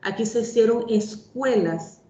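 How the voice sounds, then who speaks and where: Slow, measured Panamanian woman, echoey. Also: a little high, mellow. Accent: Panamanian Spanish. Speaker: panel speaker. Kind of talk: formal panel talk. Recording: echoey, dull lo-fi sound.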